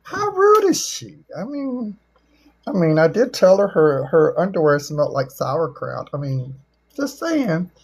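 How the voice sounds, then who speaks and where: A middle-aged woman with a husky voice, chatting casually as a livestream host in a folksy, teasing, joking way. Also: low voice, big pitch swings, measured pace.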